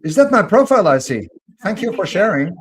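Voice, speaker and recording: A man's voice: high voice, sing-song, fairly quick. A man with a German accent, a guest talking earnestly and thinking aloud with repeated starts. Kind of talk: casual conversation.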